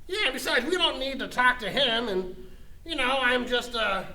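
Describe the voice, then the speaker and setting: A woman's voice: on the high side, normal speed, pushed and bright, reverberant. A woman playing a brash, abrasive, easily exasperated interviewer in a comedy radio drama. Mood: awkward, flirty.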